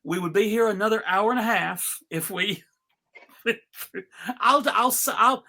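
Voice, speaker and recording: A man presenting an informal live script reading, halting and amused, with frequent asides. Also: high voice, bright, forceful tone, slight background noise. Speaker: panel reader and presenter.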